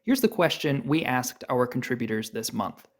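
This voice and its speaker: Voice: medium pitch, big pitch swings, moderate pace. A host delivering a scripted introduction to a discussion podcast.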